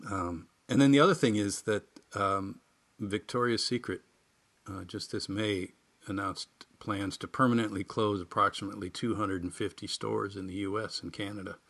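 A man's voice: lowish voice, fairly even intonation, moderate pace, creaky, fairly bright tone. A man hosting a podcast, reading humorous personal essays and chatting informally in a wry, folksy, self-deprecating way. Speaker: writer and podcast host.

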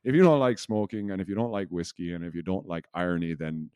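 Deep-voiced Irishman rambling on a podcast. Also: animated, quick pace, a little mellow, clean tone, no room sound, studio quality. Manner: rambling, jokey, self-deprecating. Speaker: podcast co-host. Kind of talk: casual unscripted podcast chat.

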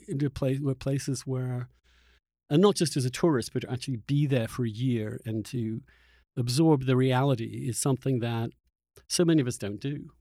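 Halting, reflective male podcast host, dry sound. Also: mid-range voice, a little mellow, dry recording. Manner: halting, searching, repeats words as he thinks. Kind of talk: reflective conversational interview.

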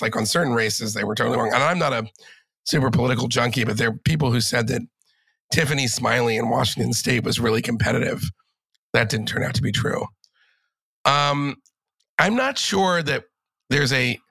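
A man with a cold and a raspy voice, chatting casually as a podcast guest and rambling through restarts and fillers. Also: mid-range voice, sharp tone, no echo, rough recording. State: has a cold. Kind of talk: casual political chat.

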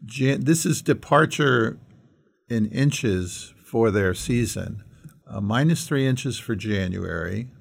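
A man's voice: medium pitch, lively intonation, slow pace, vocal fry, husky. A middle-aged American man co-hosting a conversational podcast, speaking earnestly and posing rhetorical questions. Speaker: podcast co-host. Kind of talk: conversational discussion.

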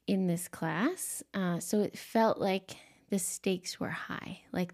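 A woman hosting her own podcast in a soft, quiet voice, a little stuffy and sniffly from allergies, talking gently with frequent ums and restarts. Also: lowish voice. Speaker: podcast host and life coach. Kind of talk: solo podcast monologue.

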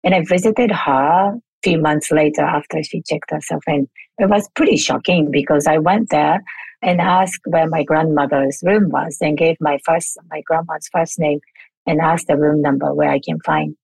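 Low husky woman, Japanese accent. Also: moderate pace, darkish tone, dry recording, poor audio. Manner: thoughtful, searching for words. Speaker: guest, elder care researcher. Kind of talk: podcast interview answers.

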